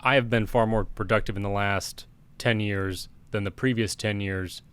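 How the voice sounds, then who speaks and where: A man co-hosting a casual podcast chat, speaking in a measured, wry way as he offers advice. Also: on the low side, fairly even intonation, fairly bright tone, smooth. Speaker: podcast co-host.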